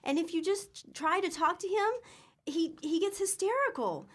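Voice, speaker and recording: Actress as an exasperated mom, high voice, scolding. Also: female voice, moderate pace. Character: exasperated, sensible wife and mother. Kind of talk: comic radio drama acting.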